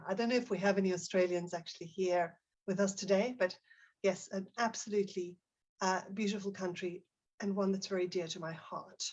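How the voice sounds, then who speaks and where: South African woman presenting a webinar. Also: mid-range voice. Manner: friendly, reads out chat replies and prompts the audience. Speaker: teacher trainer, webinar presenter. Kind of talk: live online webinar presentation. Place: home office near Barcelona.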